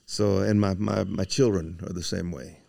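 Older man in his seventies, interview host, low voice, folksy, casual, close dry sound. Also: fairly even intonation, normal speed, creaky, warm tone, no echo. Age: 70s. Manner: chuckles often, folksy, mixes in Spanish filler words.

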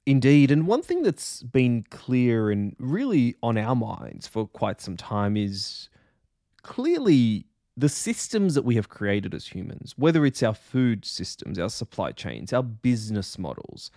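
A man hosts an interview podcast with a soft-edged, mellow voice. His pitch is lively and varied, and his phrasing is deliberate and articulate. The sound is close and dry. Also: no room sound. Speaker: podcast host. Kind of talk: scripted introductions and interview questions.

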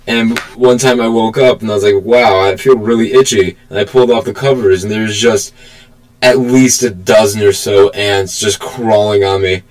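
A man's voice: lowish voice, fairly even intonation, normal speed, poor audio. A blunt, profane young man co-hosting a casual, joking podcast chat. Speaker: podcast co-host.

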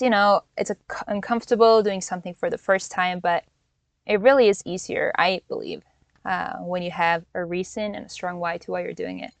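A woman's voice: mid-range voice, creaky, no echo. A Norwegian-born woman in her twenties hosting a solo coaching podcast from inside a van, talking in an earnest, encouraging way with small restarts and laughs. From Norway. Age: 20s. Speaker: podcast host and life coach.

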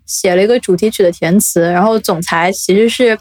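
A woman hosting a podcast in casual chat, animated, giggly and teasing, close on the mic. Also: medium pitch, a little fast, dry recording. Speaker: podcast host. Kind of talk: casual chat, introducing a guest.

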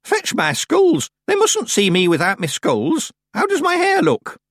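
A man's voice: on the high side, huge pitch swings, moderate pace, slightly rough, dry recording, clean studio sound, pitched above the performer's own voice, heavily acted. Man putting on a bossy very old witch woman. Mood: frantic. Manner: bossy, imperious, fussy. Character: very old sharp-tongued village witch woman.

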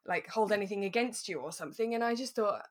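A woman's voice: medium pitch, expressive, fast talker. A woman hosting a podcast in casual, chatty, giggly conversation about a radio drama. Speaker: podcast host.

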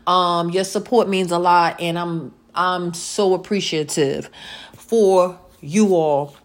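A woman in her late thirties hosting a casual solo podcast, chatty and playful, repeating words and filling pauses with um. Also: lowish voice, fairly even intonation. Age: late 30s. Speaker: podcast host.

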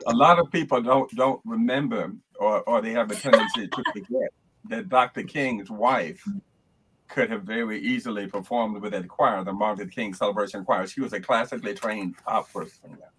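An older man from Alabama, a choral conductor who reflects and tells stories in a relaxed chat, often restarting his sentences. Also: lowish voice, a little fast, a little hiss or hum, low-quality recording. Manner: reflective, storytelling, explains with many restarts. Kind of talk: casual interview chat.

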